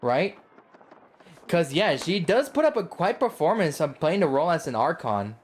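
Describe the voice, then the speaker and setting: A man's voice: a little high, very animated, normal speed, a little hiss or hum. A man live streaming a game, commenting casually and slangily, often stumbling over and repeating his phrases.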